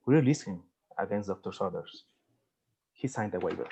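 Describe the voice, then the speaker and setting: A man playing a serious forty-five-year-old doctor, matter-of-fact and measured, at a screenplay table read. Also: low-pitched, animated, dark tone, slightly rough, muffled recording, exaggerated voice.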